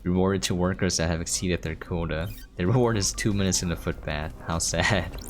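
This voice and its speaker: A man's voice: low-pitched, fairly even intonation, normal speed. A man giving casual gameplay commentary, thinking aloud and trailing off, with game music behind him. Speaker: game streamer. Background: video game music.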